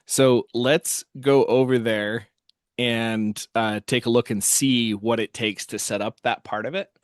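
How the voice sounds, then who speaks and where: A man giving a casual software walkthrough as host, thinking aloud as he works. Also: medium pitch, fairly even intonation, measured pace, no room sound, clean studio sound. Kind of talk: casual screen-share walkthrough.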